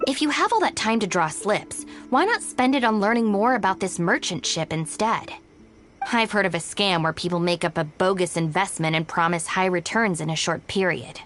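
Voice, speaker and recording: A woman's voice: mid-range voice, animated, moderate pace, a bit bright, close to an everyday voice. A woman playing a knowing, composed shrine maiden in a video game, giving advice in a cool, articulate, faintly teasing way. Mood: composed, advising. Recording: music behind the voice.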